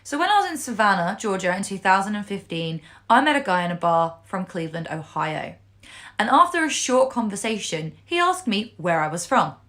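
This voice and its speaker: A woman's voice: mid-range voice, big pitch swings, fairly quick, fairly bright tone. A woman telling a casual anecdote in an exasperated, incredulous way. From Wales.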